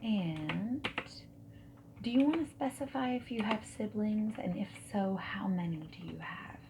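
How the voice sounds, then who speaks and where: Slow, soft, whispery woman playing a dating interviewer. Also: mid-range voice. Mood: friendly, curious. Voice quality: breathy, often slipping into whisper. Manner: gentle, unhurried, reassuring, asking questions. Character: friendly, nonjudgmental dating service interviewer. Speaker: ASMR roleplay performer. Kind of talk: soft-spoken, whispery ASMR roleplay. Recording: background noise with keyboard typing and clicks.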